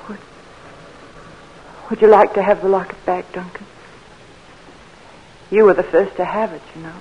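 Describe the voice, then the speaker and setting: A woman's voice: medium pitch, steady tone, moderate pace, husky, dull audio, close to an everyday voice. An actress playing an emotional young Southern woman, pleading and earnest, in a 1940s radio drama. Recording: noisy old radio recording.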